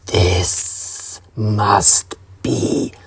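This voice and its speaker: A man performs an ancient monster queen in a horror story. The speech is extremely drawn-out and halting, regal and solemn, commanding in every word. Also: lowish voice, level tone, husky, exaggerated voice. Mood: eerie, commanding.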